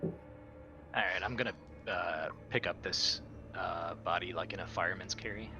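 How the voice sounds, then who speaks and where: A man's voice: low voice, normal speed, muffled recording, noisy background. A man playing a tabletop roleplaying game, casually saying what his character does.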